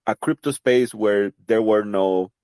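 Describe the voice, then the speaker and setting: A man with a Costa Rican Spanish accent talks in a fairly low voice, recorded dry and close with almost no room sound. He explains things thoughtfully and casually, pausing on fillers and restarting his sentences. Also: darkish tone, smooth, no room sound. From Costa Rica. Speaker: guest, crypto project representative. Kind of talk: casual interview conversation.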